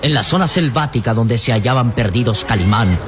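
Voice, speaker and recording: Casting: male narrator, mid-pitched, suspenseful radio-serial delivery, swelling music very loud underneath. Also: animated, fairly quick. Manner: suspenseful, declamatory.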